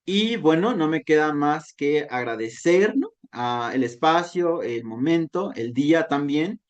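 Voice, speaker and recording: A Mexican man who grew up speaking Nahuatl gives a thoughtful online lecture, checking in with listeners through a steady "¿no?". His voice sits a little high for a man. The recording sounds dull and muffled. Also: unhurried. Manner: reflective, tags sentences with "¿no?". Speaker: volunteer talk facilitator.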